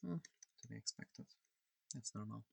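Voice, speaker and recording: A performer voicing a friendly, curious alien examiner in a soft, hesitant, breathy ASMR roleplay. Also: mid-range voice, lively intonation, normal speed, dry recording, heavily acted. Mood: curious, thoughtful. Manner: soft-spoken, hesitant, gently inquisitive.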